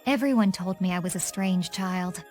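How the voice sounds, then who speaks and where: A woman's voice: fairly low pitch, moderate pace, a little mellow, faint music behind, natural voice. A woman playing a poised, composed young adventurer in a video game, polite with a playful, teasing edge.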